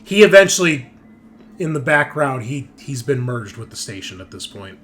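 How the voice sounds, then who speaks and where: A man's voice: medium pitch, sing-song, normal speed, fairly bright tone. A man speaking casually as a game master, explaining how a tabletop game scenario works.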